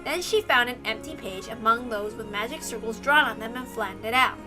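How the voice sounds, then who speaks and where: A woman's voice: on the high side, a little fast, over music. A woman reading a story aloud as scripted narration. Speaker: reader.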